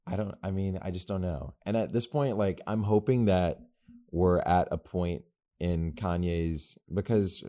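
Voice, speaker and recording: Young dude on a podcast, low mellow voice, rambly and jokey. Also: male voice, measured pace. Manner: rambling, jokey, animated asides. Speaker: podcast co-host. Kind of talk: casual podcast chat.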